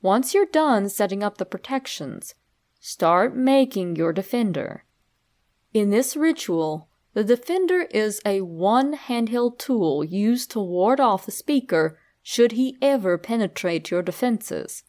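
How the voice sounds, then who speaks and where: Female narrator for horror fiction readings, medium pitch, very unhurried and deliberate, instructional storytelling delivery. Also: expressive, darkish tone.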